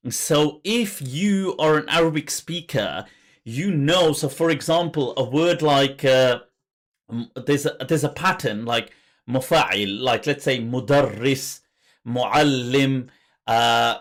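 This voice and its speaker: A man hosting a podcast interview, speaking in a thoughtful, halting way as he searches for words. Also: mid-range voice. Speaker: podcast host. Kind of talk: conversational interview.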